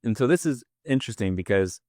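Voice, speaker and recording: A man's voice: lowish voice, expressive, quick pace, darkish tone, no echo, clean studio sound. A man hosting an interview, asking questions in an upbeat, encouraging way. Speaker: interviewer, host.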